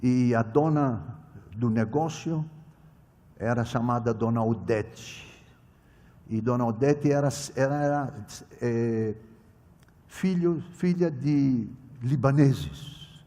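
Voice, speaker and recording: A man preaching a sermon in Portuguese as a non-native speaker, emphatic, swinging between loud exclamations and quiet asides, often restarting words. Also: medium pitch. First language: not Portuguese. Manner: halting, repeats and corrects words mid-phrase. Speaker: preacher at a children's ministry conference. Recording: echoey hall reverb.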